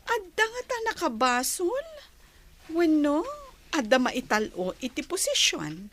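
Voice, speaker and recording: A woman's voice: sits high, very animated, a little slow, a bit bright, a little hiss or hum, heavily acted. An Ilocano-accented woman playing a gossipy, resentful office worker in a radio drama. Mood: nosy. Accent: Filipino (Ilocano).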